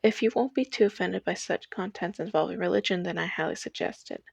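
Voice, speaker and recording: A woman's voice: fairly low pitch, fairly quick, vocal fry, husky, no room sound. A young adult woman narrating a scripted personal video essay.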